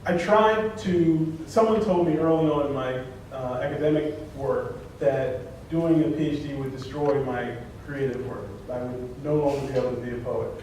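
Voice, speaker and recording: A man speaks thoughtfully about his writing, circling back and restarting as he looks for words. His voice is mid-pitched. He is picked up from a distance in a heavily echoing conference room. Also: moderate pace, hardly any pauses, darkish tone, slight background noise. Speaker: poet on a panel. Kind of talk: answering audience questions. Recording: echoey room, far from mic.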